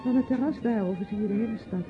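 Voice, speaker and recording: An actress playing a reticent, troubled young niece in a radio play, speaking in a hesitant, terse and evasive way. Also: female voice, fairly high pitch, rapid-fire, over music, natural voice. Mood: reluctant, evasive. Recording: dull lo-fi radio recording.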